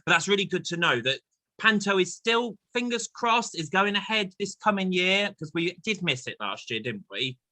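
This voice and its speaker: A man's voice: sits high, very animated, moderate pace, pushed and bright, no room sound. A man hosting an online chat show in a chatty, playful, enthusiastic and jokey way.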